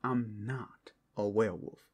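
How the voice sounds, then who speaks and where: A man acting a bewildered, incredulous captive who insists he is human, in a hushed ASMR roleplay. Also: on the low side, fairly even intonation, a little slow, breathy edge. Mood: incredulous denial. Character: bewildered male captive denying he is a werewolf.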